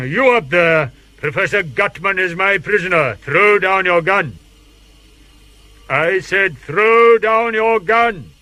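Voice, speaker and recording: Pompous, bright-voiced Victorian thespian detective. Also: male voice, high-pitched, lively intonation, slow, heavily acted. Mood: commanding. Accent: British. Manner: theatrical, florid, pompous. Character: grandiose male Victorian actor turned detective, vain and fearless. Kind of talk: 1970s British radio drama acting. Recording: noisy 1970s radio broadcast recording.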